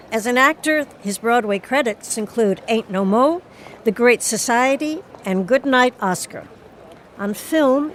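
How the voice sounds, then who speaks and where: A woman presents in a scripted host style, with a medium-pitched voice. There is faint noise behind her as she reads credits and introductions. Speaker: host introducing an audio play. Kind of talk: scripted narration.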